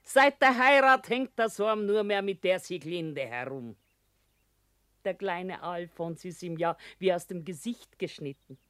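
An actress playing a doting, plaintive middle-aged widowed mother from a small town in a radio drama, speaking simply and haltingly. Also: on the low side, expressive, fairly bright tone, no room sound, clean studio sound. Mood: fond, plaintive. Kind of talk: naturalistic radio drama dialogue.

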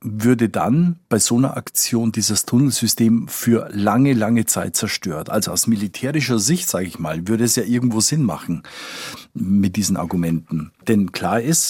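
A man's voice: lowish voice, darkish tone, slightly rough, no room sound, clean studio sound. A man working as a correspondent, explaining things conversationally in an interview and repeating words for emphasis. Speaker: foreign correspondent.

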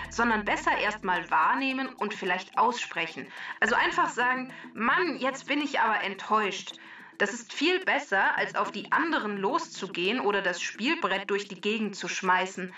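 A woman's voice: mid-range voice, bright, forceful tone, recorded from a distance, muffled recording. A woman playing a female talking computer database in a children's podcast, matter-of-fact, dry and smugly logical as she explains things. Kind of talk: scripted children's podcast character.

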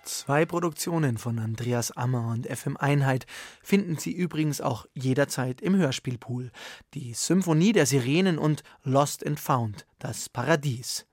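A man presenting a radio arts magazine from the studio with a smooth announcer delivery. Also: mid-range voice, normal speed, a little mellow, no echo. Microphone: studio microphone.

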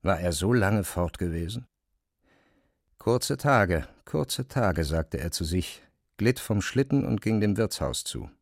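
Low-voiced man narrating, close and dry. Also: expressive, takes it slowly, creaky, no echo. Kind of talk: literary scripted narration.

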